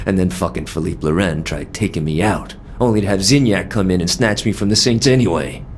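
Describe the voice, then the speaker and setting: An actor playing a loyal, battle-worn American gang lieutenant, streetwise and candid with a gravelly voice, in a game audio log. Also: male voice, low-pitched, fairly quick, slight background noise. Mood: frank, loyal.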